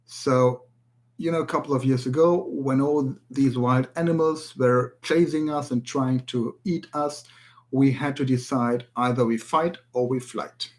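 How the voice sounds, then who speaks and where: A German-accented man presenting a language tutorial in English. His pitch sits in an ordinary middle range for a man. He speaks in an earnest way and sometimes stops to search for an English word. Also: steady tone, measured pace. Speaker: language teacher. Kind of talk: spoken video tutorial.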